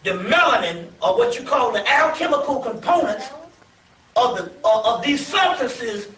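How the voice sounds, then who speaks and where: Man, very high pitch, earnest explainer, distant and echoey. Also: expressive, moderate pace, sharp tone, a little hiss or hum. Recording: echoey room from far off.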